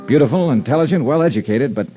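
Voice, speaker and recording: A man's voice: mid-range voice, lively intonation, quick pace, natural voice. An American actor playing a wry, hard-boiled male investigator in a 1950s radio detective drama, clipped and confiding. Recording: dull vintage radio recording with music.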